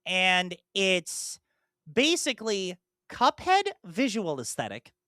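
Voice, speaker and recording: A man co-hosting a casual podcast, rambling with lots of fillers and restarts, slipping into animated, mock-exasperated asides. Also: sits high, takes it slowly, no echo, clean studio sound. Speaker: podcast co-host and streamer.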